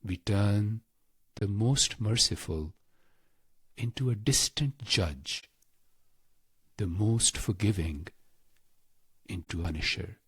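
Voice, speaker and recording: A man with a husky, breathy voice guides a scripted meditation in short, deliberate phrases, solemn and devotional. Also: lowish voice, dry recording. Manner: slow, deliberate, pausing between short phrases.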